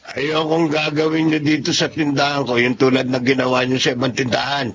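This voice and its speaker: An actor playing a stern, protective older storekeeper in a Filipino radio drama, firm and scolding. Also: male voice, medium pitch, little pitch movement, a little fast, fairly bright tone, lo-fi sound, a little hiss or hum, close to an everyday voice. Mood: warning.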